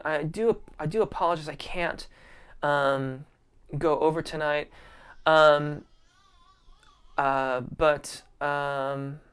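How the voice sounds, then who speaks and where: A man's voice: fairly high pitch, a bit bright, clean tone. A man, a vocal coach, hosting a casual live-stream Q&A, reading viewer comments and answering in a friendly, appreciative way. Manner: friendly and appreciative, many ums and restarts.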